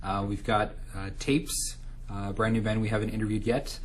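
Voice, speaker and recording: A man's voice: on the low side, level tone, moderate pace. A man guesting on a radio show, promoting his own program in an upbeat, chatty way full of fillers. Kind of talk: casual promotional chat.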